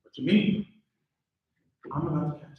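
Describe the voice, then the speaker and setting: A man's voice: fairly high pitch, moderate pace, highs cut off, close to an everyday voice. A man playing a dramatic, paranoid young husband in a live script table read. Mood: determined. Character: dramatic, paranoid husband suspicious of his new wife. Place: live indoor table read at a mixer event. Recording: echoey room, picked up from far off.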